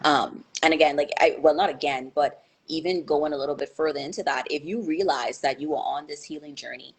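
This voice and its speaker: Young woman, low voice, husky, reflective, hesitant, interview guest. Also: fairly quick. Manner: thoughtful, hesitant, many fillers and restarts.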